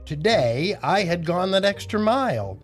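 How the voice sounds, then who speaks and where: A man's voice: high-pitched, sing-song, measured pace, soft background music. An older man hosting a children's storytime show, telling jokes and reading aloud in a playful, theatrical way. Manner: playful, exaggerated, theatrical delivery. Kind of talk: animated kids' show hosting, joke telling and read-aloud.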